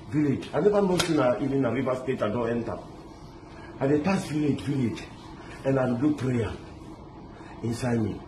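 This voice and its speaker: Middle-aged guy from lebanon telling his story all worked up, lots of restarts, street noise loud behind. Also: medium pitch. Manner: excited, halting, many restarts. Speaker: interviewee recounting a kidnapping. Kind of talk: animated storytelling, mixing in pidgin words. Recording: echoey with loud street ambience.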